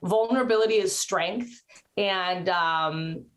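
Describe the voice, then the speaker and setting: A woman's voice: medium pitch, slow pace, low-quality recording. Chatty woman on a video call. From Mexico. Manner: chatty, many fillers and restarts. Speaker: interview guest, actress and writer. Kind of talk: casual video-call interview. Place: indoors at home, curtained room.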